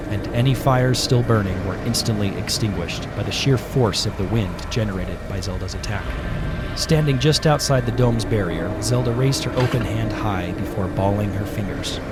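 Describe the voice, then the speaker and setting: Low-voiced man narrating fantasy over music. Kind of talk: scripted fantasy audiobook narration. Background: prominent music.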